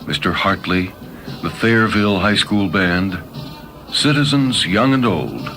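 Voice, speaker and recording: A man's voice: deep voice, animated, a little slow. A man reading a short story as a dramatic narrator. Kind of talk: dramatic scripted narration over music. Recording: loud music bed behind voice. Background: music.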